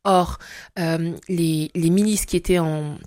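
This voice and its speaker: Hesitant woman in her forties, low voice. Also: steady tone, slow, darkish tone. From Congo-Brazzaville. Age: 40s. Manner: hesitant, many fillers and restarts. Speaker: guest. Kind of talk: testimony interview.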